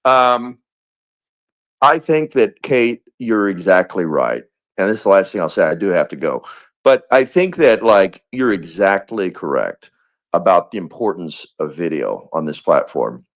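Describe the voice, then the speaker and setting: A man's voice: low voice, very animated, a little slow. A man in casual chat in a live audio room, talking in rambling bursts with many restarts. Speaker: guest speaker in a live audio space.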